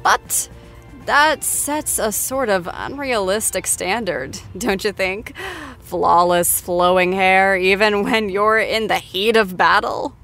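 Gushing, slow-paced after-show host. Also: female voice, mid-range voice, fairly bright tone, soft background music. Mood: gushing, playful. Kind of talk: chatty interview hosting.

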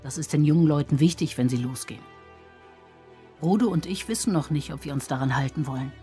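A worried village woman played by an actress confides her thoughts in an audio drama. It is a first-person monologue, with soft music underneath. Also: low voice, brisk, close to an everyday voice. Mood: reflective, worried.

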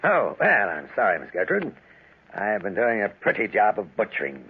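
A man's voice: fairly low pitch, wildly swooping pitch, normal speed, slight background noise. An actor playing a sly, folksy male cattle butcher with leering, faintly menacing familiarity, in 1940s radio style. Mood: oily, jovial. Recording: muffled, tinny 1940s radio recording.

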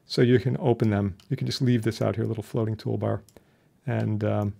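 Guy walking thru software, chatty n wry, really gravelly and husky. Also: lowish voice, fairly even intonation, a little fast. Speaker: instructor. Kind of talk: informal software tutorial. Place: indoors, voice-over for a screen recording.

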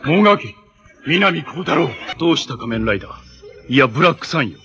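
An actor playing a menacing armored cyborg villain in a 1980s Japanese superhero TV show, making imperious, theatrical proclamations. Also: male voice, on the high side, lively intonation, a little fast, fairly bright tone, dull audio. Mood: taunting, cruel.

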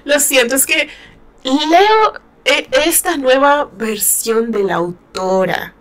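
Middle-aged woman, relaxed book-club chatter, frequent laughs, voice a touch high. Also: slow pace. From Mexico. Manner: chatty, laughs often, many fillers. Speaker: book reviewer. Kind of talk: casual chat, reading and commenting on a novel.

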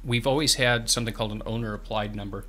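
A man's voice: on the low side, fairly even intonation, fast, pushed and bright. A man in his fifties, a guest in a small radio studio, explaining programs earnestly and often restarting his words. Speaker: farm bureau representative, guest. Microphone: studio microphone on boom arm, headphones.

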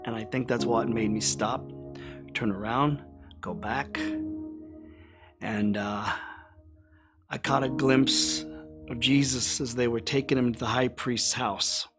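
A man playing an anguished, guilt-ridden fisherman disciple in a first-person dramatic monologue, halting and conversational like an everyman. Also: mid-range voice, steady tone, loud music behind the voice. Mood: haunted, subdued.

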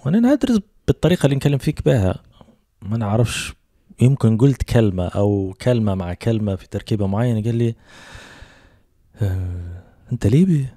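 A man in his thirties with a Libyan Arabic accent hosts a podcast, talking in a relaxed, storytelling way. His voice sits low and mellow. He speaks close to a handheld mic in a dry, dead-sounding room. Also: dry recording. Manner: relaxed storytelling with quick asides. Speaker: podcast host. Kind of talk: casual conversation. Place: small home room with soft lighting. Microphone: handheld mic with headphones.

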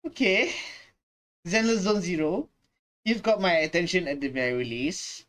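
A young adult man giving casual, halting reaction commentary, repeating himself and swearing mildly. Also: high-pitched, expressive, a bit bright. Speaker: reaction video host. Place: small bedroom, indoors.

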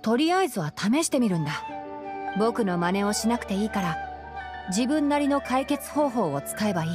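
A woman playing a young male forest ranger in a Japanese anime game, calm and studious, explaining things in a measured way. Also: on the high side, music in the background. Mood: composed, encouraging. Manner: measured, matter-of-fact explaining.

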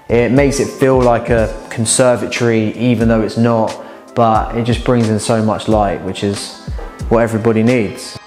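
A man's voice: mid-range voice, darkish tone. A young man with an upbeat, enthusiastic manner, casually showing viewers around a large house as he walks from room to room. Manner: upbeat, enthusiastic, conversational while walking. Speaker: house tour host. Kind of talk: casual walking house tour. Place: large house, indoors and garden.

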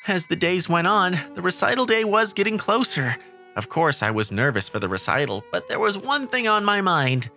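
A woman's voice: fairly low pitch, big pitch swings, moderate pace, pushed and bright, soft background music, full character voice. A woman voicing a shy teenage boy who narrates the story and stammers when flustered, in a manga dub. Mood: narrating. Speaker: narrator and lead character.

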